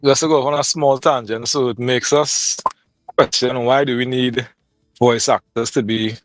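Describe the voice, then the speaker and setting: A man co-hosting a podcast, chatting casually and haltingly with plenty of "you know". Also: medium pitch, steady tone, dry recording. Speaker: podcast co-host. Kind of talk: casual chat.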